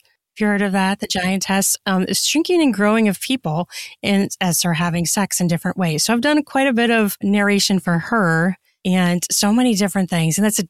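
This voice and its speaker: A woman's voice: mid-range voice, dry recording, studio quality. A woman speaking as a podcast guest in casual interview chat, chatty and open as she explains things. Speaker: podcast guest, erotica author and narrator.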